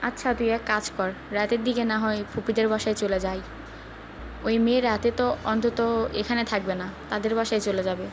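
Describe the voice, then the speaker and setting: A woman acts out an elder sister in a romantic audio drama, protective and scheming. Her voice is fairly high and firm, giving advice, with noticeable ambient noise behind it. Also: steady tone, moderate pace, lightly acted. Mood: questioning, scheming. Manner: firm, advising. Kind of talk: Bengali audio love-story drama.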